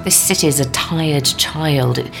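An actress playing a hard-nosed, wry interrogator in an audio drama, questioning in a dry, insistent way. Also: female voice, sits low, takes it slowly, gravelly, over music, heavily acted. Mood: cool, probing.

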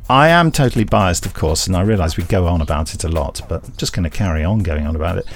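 Low gravelly guy, animated and enthusiastic cohost chatting. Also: quick pace, soft background music. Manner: enthusiastic, playful. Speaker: podcast co-host and actor. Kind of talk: casual chat.

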